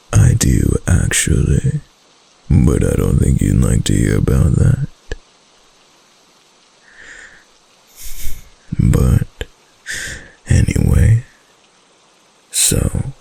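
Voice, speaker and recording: Casting a male actor for a clingy yandere fox-spirit husband, intimate ASMR roleplay; slow, heavily creaky murmur, tender and obsessive. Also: dark tone, heavily acted, rough recording. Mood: adoring, playful. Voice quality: deep, breathy, gravelly. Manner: slow, hesitant, coaxing endearments.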